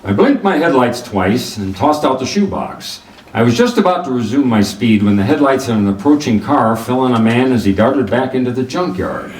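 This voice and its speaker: An actor playing a wry, hardboiled private detective, narrating a noir radio drama in a clipped way. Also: male voice, mid-range voice, animated, normal speed, darkish tone, close to an everyday voice. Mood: wry, brisk. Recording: roomy, distant mic, faint noise.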